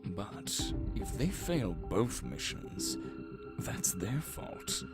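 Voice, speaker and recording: A man's voice: medium pitch, wildly swooping pitch, drawn-out words, full character voice. A man voicing a cold, condescending villain leader in a fan audio drama, speaking in a sneering, mocking manner. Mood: contemptuous. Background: music score.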